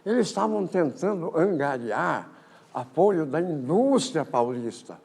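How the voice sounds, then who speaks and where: An older man, giving a physics colloquium talk on history in a lecture hall, halting often with repeated words and false starts. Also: on the high side, very animated, creaky, darkish tone, breathy edge. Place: lecture auditorium.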